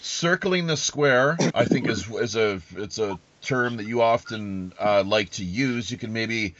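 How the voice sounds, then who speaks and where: Halting man hosting, dull lo-fi sound. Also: fairly low pitch, a bit bright, no room sound. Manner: halting, rambling, wordy. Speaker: podcast host. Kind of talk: casual interview chat.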